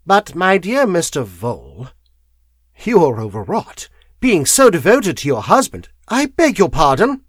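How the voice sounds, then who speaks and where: A courteous, careful solicitor from a mystery audiobook, acted by a male narrator. He speaks earnestly and a bit hesitantly, raised above the narrator's usual pitch. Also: huge pitch swings, unhurried, no room sound, studio quality, exaggerated voice. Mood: uneasy, earnest. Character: polite, cautious male English solicitor.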